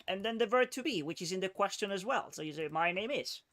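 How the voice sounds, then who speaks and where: Guy teaching english one on one, kinda high voice, super patient, keeps saying okay?. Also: animated, quick pace, a bit bright. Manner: patient, checks in with frequent "okay?"; switches to Spanish to explain.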